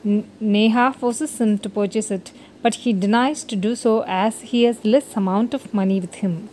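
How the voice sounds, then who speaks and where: A woman narrates a scripted bedtime story for children at a middling pitch. The recording carries some faint noise and ambience behind her. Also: fairly even intonation, moderate pace, a little mellow, poor audio.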